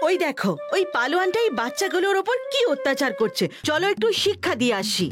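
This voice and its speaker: Very high-pitched, indignant cartoon bee. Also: female voice, sing-song, quick pace. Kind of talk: animated cartoon dub. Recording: loud music over the voice.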